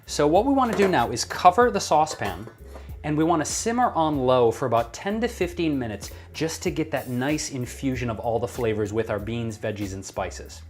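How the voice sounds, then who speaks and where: A man's voice: medium pitch, lively intonation, moderate pace, faint music behind. An American man presenting a recipe as a cooking show host, chatty, playful and enthusiastic in his delivery. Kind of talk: upbeat recipe demonstration to camera. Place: kitchen.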